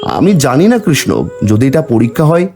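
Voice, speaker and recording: Humble, honest cartoon village hero, acted by a man, mid-pitched and earnest, animated intonation. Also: normal speed, music in the background. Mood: worried.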